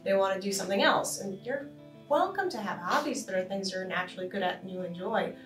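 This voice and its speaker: A woman's voice: medium pitch, fast. A woman from Boston, co-hosting a casual podcast panel and telling stories with lots of laughter. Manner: animated, laughing storyteller. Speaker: podcast co-host. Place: conference room around a table. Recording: roomy, distant with faint music.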